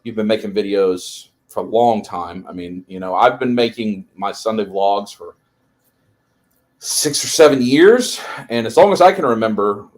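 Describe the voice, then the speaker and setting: A man in his early forties hosting a relaxed interview, chatty and a bit stumbling as he shapes his questions. His voice sits slightly on the low side. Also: moderate pace. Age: 40s. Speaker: live stream host. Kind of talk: casual interview chat.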